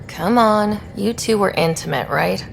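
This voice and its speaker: A woman playing an inquisitive expedition leader in a video game, with a creaky voice and a direct, probing manner. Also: medium pitch, a little slow, soft background music. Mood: curious, probing. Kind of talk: video game dialogue acting.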